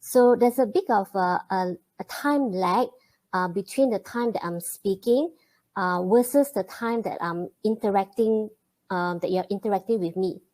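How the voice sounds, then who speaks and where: Woman, webinar host, relaxed and friendly, filler-heavy delivery. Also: mid-range voice. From Malaysia. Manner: friendly, many fillers and pauses. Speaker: webinar host and programming teacher. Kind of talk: live online webinar greeting and intro.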